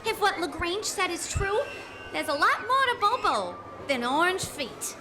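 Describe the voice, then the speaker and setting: A woman playing a plucky, caring detective's sidekick, earnest and expressive, in an old-time radio play. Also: extremely high pitch, a little slow, full character voice. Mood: concerned. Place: live theatre stage. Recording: live stage recording with clear noise.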